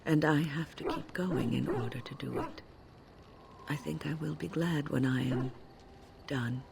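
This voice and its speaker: An actress playing a kindly, weary elderly woman mage in a video game, speaking gently and with dignity. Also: sits low, unhurried, creaky, background noise. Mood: wistful, gentle. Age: older. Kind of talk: video game character dialogue.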